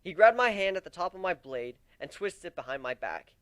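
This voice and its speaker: Bright-voiced man reading a story dramatically. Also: high-pitched, a little fast, no echo, clean studio sound. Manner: theatrical, expressive. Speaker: amateur reader of fan fiction.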